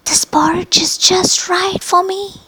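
A woman's voice: extremely high pitch, steady tone, takes it slowly, bright, forceful tone, pitched above the performer's own voice, exaggerated voice, rough recording. A woman voicing a curious, hungry little girl who talks in a sing-song, exclaiming way. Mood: pleased.

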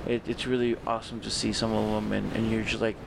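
A man hosting a podcast in loose, rambling chat, swearing freely and filling pauses with ums. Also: fairly low pitch, level tone, creaky, noisy background. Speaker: podcast host.

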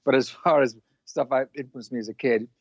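A man from Wales, a musician guest, answering in a halting way with many restarts. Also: medium pitch, fairly even intonation, gravelly, husky, no room sound. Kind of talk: casual interview chat. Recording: dull dry lo-fi line.

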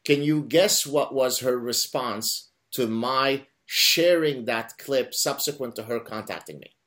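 A man whose first language is Arabic, hosting an interview and telling a story in an animated, emphatic way. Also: medium pitch, unhurried. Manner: animated, emphatic, with self-corrections. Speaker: host.

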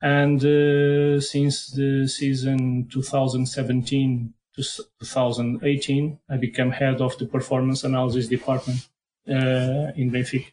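A middle-aged man with a strong Portuguese accent speaks English as a second language. His tone stays flat and even, with little rise or fall. He stops often, restarts words and fills gaps with "uh" while he searches for them. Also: mid-range voice, measured pace, rough recording. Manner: hesitant, many fillers and repeated words. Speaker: head of football performance analysis. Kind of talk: podcast interview answers.

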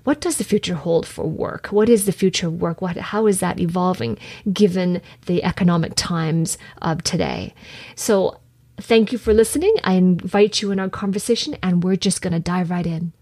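Enthusiastic husky-voiced woman podcast host. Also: lowish voice, moderate pace, darkish tone, no echo. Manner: enthusiastic, effusive. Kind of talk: conversational interview.

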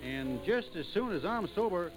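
Stubborn high-voiced Southern country lad. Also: male voice, big pitch swings, moderate pace, over music, rough recording. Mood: drunk, dreamy. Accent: rural Southern US. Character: stubborn, lovestruck, hot-headed young backwoods country man. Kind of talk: old radio drama acting.

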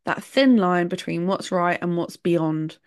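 A woman's voice: fairly low pitch, measured pace, no room sound, studio quality. A woman hosting a solo podcast, talking in a chatty, opinionated way. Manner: chatty, opinionated, laughs between points. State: sore throat. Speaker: podcast host.

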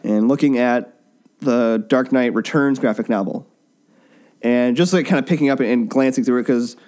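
A man's voice: mid-range voice, moderate pace, darkish tone. A man hosting a casual, joking chat about comic books, often breaking off and restarting his sentences, recorded in a basement. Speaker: podcast host.

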